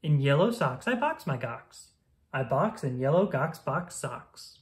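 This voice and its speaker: A woman reading a rhyming children's picture book aloud in a playful, sing-song, expressive manner. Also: deep voice, a little slow.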